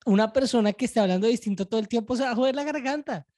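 A woman's voice: a little high, rapid-fire, mellow. A woman with a Colombian accent co-hosting a casual podcast chat in blunt slang, swearing freely. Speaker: podcast co-host. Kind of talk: casual chat about pop culture.

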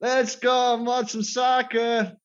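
A man voicing a jokey, exaggerated Canadian character greeting his friends slowly. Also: very high voice, level tone, highs cut off, voice pushed up.

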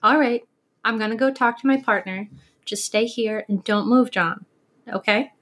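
A woman's voice: a little high, moderate pace, fairly bright tone, close to an everyday voice. A woman playing a composed, firm young police officer with a sarcastic streak in an audio drama. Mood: reassuring.

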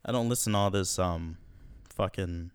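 A man's voice: deep voice, normal speed, clear, resonant tone, dry recording, studio quality. A young man hosting a casual podcast at home, slangy and laid-back, swearing often. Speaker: podcast host. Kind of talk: casual profane podcast chat.

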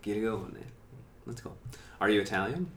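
Low-voiced male podcast host, echoey room. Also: lively intonation, slight background noise. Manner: halting, restarts his questions. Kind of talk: casual interview questions.

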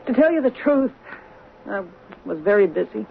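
Through a narrow, faintly noisy old radio recording comes a sardonic, boozy woman whose career has soured. She drawls mockingly with a lively, swinging pitch, in classic radio drama style. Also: normal speed, gravelly. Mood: wry, tipsy. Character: bitter, tipsy actress out of work, sardonic.

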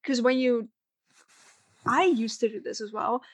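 A young woman chatting on a podcast, her voice a bit high and close to the mic. She rambles and restarts often. The sound is dry, with no room around it. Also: measured pace, no room sound. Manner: rambling, many fillers and restarts. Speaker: podcast co-host. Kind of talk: casual podcast chat.

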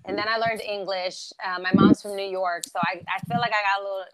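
A woman's voice: mid-range voice, steady tone, fairly quick, a crowd in the background, rough recording. Upbeat chatty woman, East Coast American accent. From Germany. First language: German. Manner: upbeat, chatty, laughs often, motivational. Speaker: interview guest. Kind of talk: casual interview chat.

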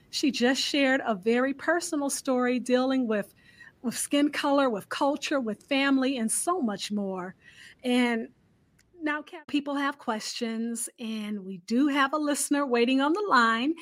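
A woman's voice: high voice, a bit bright. A woman hosting a live radio call-in interview, speaking in an affirming, encouraging way. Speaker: host of a radio storytelling show.

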